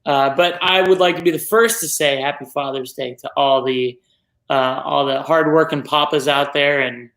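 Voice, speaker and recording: A man's voice: on the high side, steady tone, normal speed, gravelly, fairly bright tone, roomy sound, low-quality recording. A man co-hosting a casual chat show, chatty and joking at his own expense. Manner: chatty, self-deprecating, joking. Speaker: co-host.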